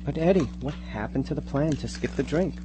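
A man's voice: medium pitch, fairly quick, close to an everyday voice. Man acting an anxious teenage boy, noisy recording. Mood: suspicious. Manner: pleading, questioning, fretful. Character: anxious, doubting teenage boy resisting a sinister ritual. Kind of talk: live amateur radio drama acting.

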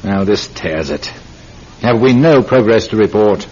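An actor playing an impatient senior London police inspector with a British accent, brusque and commanding in an old-time radio drama. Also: male voice, fairly low pitch, expressive, unhurried, a little mellow, slight background noise. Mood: exasperated. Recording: dull, muffled classic radio recording.

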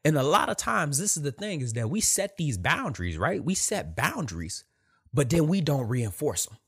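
A soft-voiced man giving a blunt, emphatic motivational talk, repeating his points. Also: a little high, big pitch swings, normal speed, slightly rough. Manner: emphatic, repetitive, direct with profanity.

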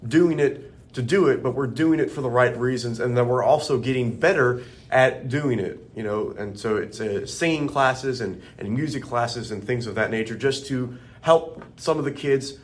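A man with a deep bass voice co-hosting a podcast, speaking in a friendly, conversational way and checking in with his listeners. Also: normal speed. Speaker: podcast co-host. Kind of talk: casual religious discussion.

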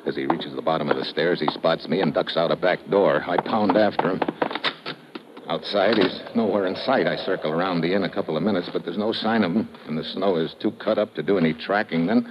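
A man's voice: low-pitched, a little fast, close to an everyday voice. An actor playing a tough, wisecracking American investigator who narrates his case in clipped, dry style in an old radio drama. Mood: terse narration. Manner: clipped, dry, hard-boiled. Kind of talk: 1950s radio drama acting, hard-boiled narration. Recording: noisy muffled old radio recording, clicks.

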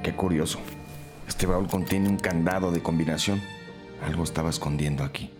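An actor playing a seasoned, menacing police detective, cool and deliberate, in a crime audio drama. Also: male voice, deep voice, steady tone, over music, close to an everyday voice. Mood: deducing.

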